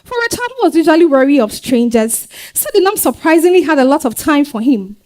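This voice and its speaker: A woman with a Ghanaian accent playing a warm, weary young market-trader wife and mother in a staged reading, conversational and full of hums and exclamations. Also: sits high, very animated, a little fast. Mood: fond reminiscing. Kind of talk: dramatized stage reading, first-person storytelling.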